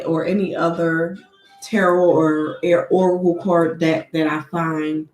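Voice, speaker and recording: Woman doing a tarot reading, slow and soft, kinda sniffly, echoey room with a little music behind. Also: on the low side. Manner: slow, soft and deliberate, with an invocation-like cadence. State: sniffling from allergies. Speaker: tarot card reader.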